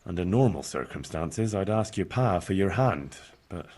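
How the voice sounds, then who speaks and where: An actor playing an earnest, cheeky young medieval village lad in love, bashful and plain-spoken, in a video game. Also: male voice, low voice, animated, moderate pace, a little mellow. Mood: sheepish. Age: young adult. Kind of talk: naturalistic video game dialogue acting.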